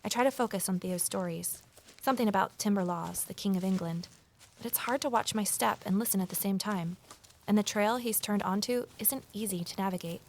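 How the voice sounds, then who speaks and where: A woman's voice: medium pitch, brisk, a little mellow, slight background noise, lightly acted. An actress playing a curious, watchful amateur investigator, telling her mystery in the first person and talking with others. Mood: uneasy, distracted. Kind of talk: audio drama narration and dialogue.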